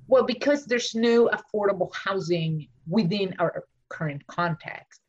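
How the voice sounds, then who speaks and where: A woman with an Argentine Spanish accent speaks at a middling pitch, thinking aloud in a loose, searching way and circling back on her sentences. The recording is a little dull and muffled. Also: unhurried. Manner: thinks aloud with many fillers and restarts. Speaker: architect and author. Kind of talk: podcast interview. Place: home studio in Washington DC.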